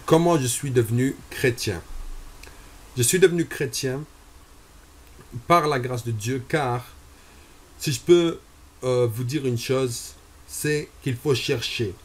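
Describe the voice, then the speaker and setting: A man in his twenties from Algeria, giving a personal religious testimony, slow and deliberate with frequent hesitations. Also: medium pitch, breathy edge. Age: 20s.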